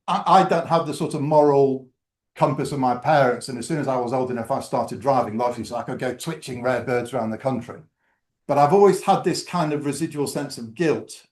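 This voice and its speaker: A man gives an informal lecture in a lecture room, chatting easily and stopping often for ums and restarts. His voice sits at an ordinary pitch and bounces around an echoey hall. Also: darkish tone. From England. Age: 60s. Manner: conversational, with frequent ums and restarts. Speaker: guest speaker. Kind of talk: public lecture with slides.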